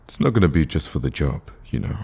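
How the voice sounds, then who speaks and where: An eager villain in love, acted by a man for a romantic ASMR roleplay. His voice is extremely low, dark and mellow, with a husky rasp. He talks in a casual, conversational way and gets flustered easily around his date. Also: very animated, fast talker, full character voice. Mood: earnest, reassuring.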